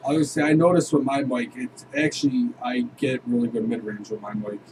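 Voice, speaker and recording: A man talks quickly in a casual, conversational way, with a mid-range pitch. He sounds like a musician chatting during a session, with noise in the background. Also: fairly even intonation. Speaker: musician joining a mixing session. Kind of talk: casual chat.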